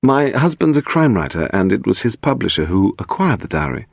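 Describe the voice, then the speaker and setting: A man's voice: on the low side, sing-song, fairly quick, creaky, no room sound, clean studio sound, voice pushed up, full character voice. A man reading the part of a polite, persistent English woman, a writer's wife, in an audiobook mystery. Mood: earnest, provocative. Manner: poised, persevering.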